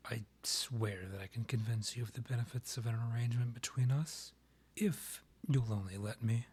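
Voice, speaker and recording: A man playing a courtly, lovestruck vampire king in a romantic ASMR roleplay, half-whispering in a breathy voice with formal, pleading phrasing. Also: medium pitch, dark tone. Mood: smitten, courting. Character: lovestruck male vampire king, courtly, yearning, tender suitor.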